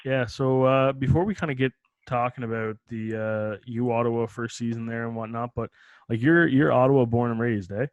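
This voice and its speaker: A man's voice: mid-range voice, steady tone, normal speed, clean tone, no echo, dull audio. A man hosting a podcast, asking loose, rambling questions with many "uh" fillers. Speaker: podcast host. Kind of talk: casual podcast interview.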